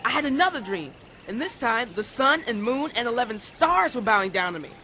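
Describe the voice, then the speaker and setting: A naive, earnest young shepherd in a Bible audio drama. His voice is bright and swings with animation. Faint outdoor ambience sits behind it. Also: very high-pitched, very animated, a little fast, a little hiss or hum, exaggerated voice. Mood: excited, earnest. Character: eager, naive seventeen-year-old dreamer shepherd boy.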